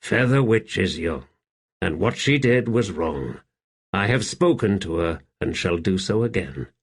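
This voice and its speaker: Man as a shrewd male servant, low hushed husky voice, unhurried, deferential, calm, audiobook fantasy. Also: heavily acted. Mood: quietly persuasive. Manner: measured, quiet, subdued. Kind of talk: audiobook character acting.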